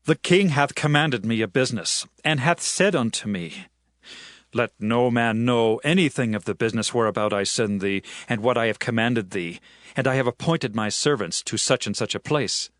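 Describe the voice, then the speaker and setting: A man's voice: mid-range voice, animated, moderate pace, fairly bright tone, no room sound, studio quality, lightly acted. A man playing an earnest young fugitive warrior in a dramatized scripture reading, speaking persuasively and insistently in archaic English.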